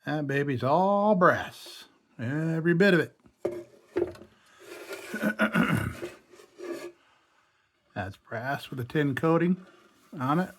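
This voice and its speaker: A hoarse man talking casually to himself as he restores an old lantern at a workshop bench, thinking aloud. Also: on the high side, animated, slow pace, long pauses, fairly bright tone, slight background noise. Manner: chatty, thinking aloud while working. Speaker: restoration channel host.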